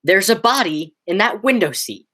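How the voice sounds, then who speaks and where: A teenage woman playing an agitated, horrified young man who stammers and exclaims in a high school radio play. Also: expressive, moderate pace, a bit bright. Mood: urgent, grave.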